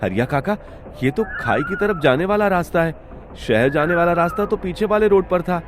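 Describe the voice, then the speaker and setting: A man's voice: sits high, expressive, moderate pace, a little hiss or hum. A man voicing a studious, trusting young village son who speaks politely and earnestly in a cartoon story. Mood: doubtful.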